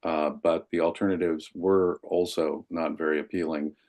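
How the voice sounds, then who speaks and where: A man's voice: low voice, flat delivery, normal speed, darkish tone. A man speaking as a guest on a political podcast, emphatic and wordy, heard over a video call. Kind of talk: political commentary chat.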